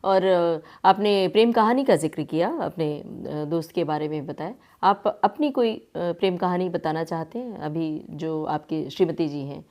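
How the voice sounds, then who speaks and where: A woman hosts a radio interview in a slightly low voice. She speaks courteously and conversationally, addressing her guest with polite questions and comments. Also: moderate pace, few pauses, a little mellow. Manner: courteous, frequent listening murmurs.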